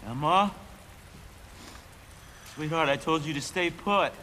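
An actor playing a desperate father guarding his infected little daughter, swinging between shouts and whispers and swearing. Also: a little high, takes it slowly. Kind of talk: video game cutscene acting. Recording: loud rain noise behind.

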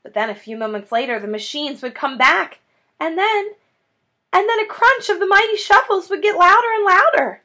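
A woman in her thirties reading a children's book aloud in an animated, dramatic way. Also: fairly high pitch. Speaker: children's story reader. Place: small indoor room, classroom-like decor.

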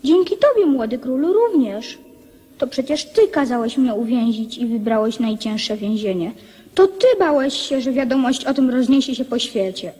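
A woman playing a young boy king in a radio play, earnest and forgiving, speaking in a measured, formal way. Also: a little high, lively intonation, dark tone, husky. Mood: solemn. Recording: long reverberant echo.

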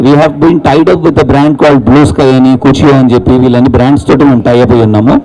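A man in his forties with an Indian accent, giving an informal, halting promotional speech at a launch. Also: medium pitch, steady tone, mellow, rough recording. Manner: halting, switches languages mid-sentence. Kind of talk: informal promotional speech mixing English and Telugu. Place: open atrium of a shopping mall, on stage. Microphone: handheld microphone.

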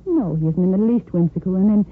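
An actress in a 1950s American radio drama playing a weary young society woman, quietly compassionate beneath a frivolous front. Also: lowish voice, expressive, fast, breathy edge. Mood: weary, earnest. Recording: very noisy, tinny 1950s radio recording.

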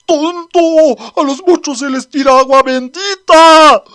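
A man voicing a panicky, angry human soldier in a manga dub, shouting frantically. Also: high-pitched, measured pace, voice pushed up. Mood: furious. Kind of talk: Spanish manga dub acting.

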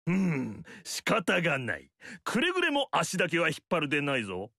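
Snooty noble lord in a game, kinda high, gravelly edge, bounces all over. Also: male voice, huge pitch swings, moderate pace, pushed and bright, no room sound, clean studio sound, heavily acted. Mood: haughty. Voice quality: haughty, lecturing. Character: pompous middle-aged male noble lord, proud of lineage. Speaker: game character. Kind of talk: game story dialogue.

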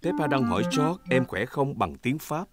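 A man's voice: mid-range voice, lively intonation, normal speed, very noisy, lightly acted. A man narrating a children's cartoon from a script.

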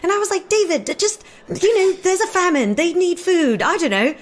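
A woman's voice: very high voice, brisk. A woman telling an anecdote in a casual, conversational way. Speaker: drama director. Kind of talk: casual chat, animated anecdote.

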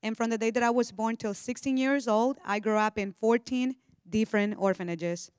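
A woman's voice: a little high, moderate pace, a little mellow, clear, resonant tone, no room sound, studio quality. A young woman from Honduras with a Spanish accent, giving an earnest personal testimony on a church stage into a handheld mic. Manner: earnest, eager storytelling with casual fillers. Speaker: guest speaker.

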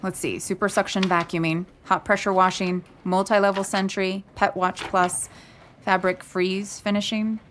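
A woman's voice: mid-range voice, level tone, moderate pace, slight background noise, natural voice. A woman playing an anxious, protective middle-aged mother with a dryly sarcastic, exclamatory manner in an audio drama. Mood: wry, doubtful. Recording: loud music under the voice.

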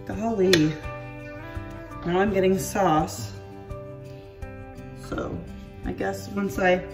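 A woman's voice: mid-range voice, recorded from a distance. A woman in her thirties, congested, chatting casually and self-deprecatingly as she cooks dinner at home. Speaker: home cooking vlogger. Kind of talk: casual chatty cooking narration. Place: home kitchen and outdoor patio.

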